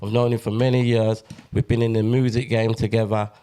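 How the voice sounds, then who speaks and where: Slangy teasing podcast host. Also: male voice, on the low side, little pitch movement, fairly quick, dark tone, smooth. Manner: slangy, teasing, repeats phrases. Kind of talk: casual banter.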